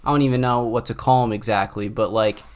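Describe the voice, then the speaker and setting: A man's voice: fairly low pitch, steady tone, quick pace. A man hosting a casual podcast interview, asking long questions and searching for words as he goes. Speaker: podcast host.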